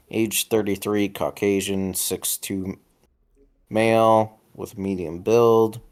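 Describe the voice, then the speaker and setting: A man's voice: lowish voice, smooth. A man playing a tabletop game, reading case documents aloud in a casual way. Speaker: tabletop role-playing player.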